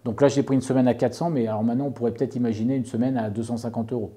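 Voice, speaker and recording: Man explaining, very dark muffled tone. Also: mid-range voice, fairly even intonation, rapid-fire. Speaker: property owner giving advice and a review. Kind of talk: informal explanatory talk, answering an interviewer.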